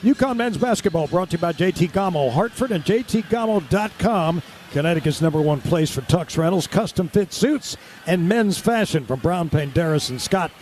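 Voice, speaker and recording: A man's voice: high-pitched, animated, moderate pace, a little mellow. An American man calling live radio basketball play-by-play in clipped, present-tense phrases. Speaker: play-by-play announcer. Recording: clear crowd and arena background noise.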